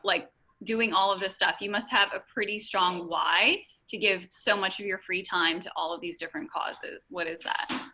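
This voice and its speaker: A woman's voice: medium pitch, normal speed, bright, forceful tone. A young woman hosting an interview over a video call, chatty and upbeat, with lots of fillers. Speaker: interview host.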